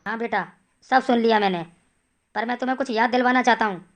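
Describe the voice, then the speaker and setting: A woman voicing a calm, fatherly male holy man who speaks solemnly in an animated horror story. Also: on the high side, quick pace, natural voice.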